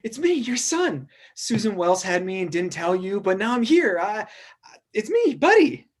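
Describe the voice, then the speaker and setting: Man acting a wildly animated, childlike grown-up elf. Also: high-pitched, moderate pace. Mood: hopeful, earnest. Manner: wide-eyed, earnest, guileless. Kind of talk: comedic script read, theatrical acting.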